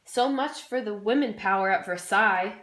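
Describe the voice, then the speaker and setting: A woman's voice: mid-range voice, moderate pace, fairly bright tone. A young woman, a student presenter, delivering a scripted history skit in an informal, jokey way. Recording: roomy, echoey room sound.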